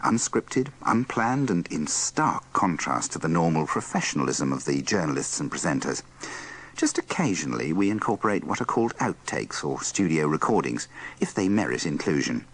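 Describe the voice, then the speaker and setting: Deep male presenter, husky, animated intonation, dry wit, scripted links. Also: normal speed. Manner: wry, dryly teasing, rhetorical asides. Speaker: presenter of a radio bloopers compilation. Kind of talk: scripted wry linking narration.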